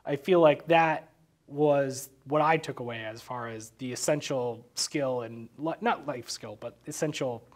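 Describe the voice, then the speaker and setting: A man in casual, informal film analysis, speaking haltingly and repeating words as he works out his thoughts. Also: mid-range voice. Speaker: podcast co-host discussing a film.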